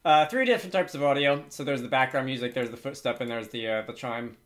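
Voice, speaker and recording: Man, medium pitch, tutorial host, informal explanatory delivery, hesitant, thinking aloud, screen recording. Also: quick pace. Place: indoors at a computer, screen recording with typing.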